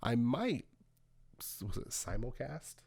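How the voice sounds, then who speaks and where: A man's voice: medium pitch, big pitch swings, takes it slowly, gravelly, a little mellow, slightly rough. A man co-hosting a podcast in casual, rambling chat, swearing now and then. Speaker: podcast co-host.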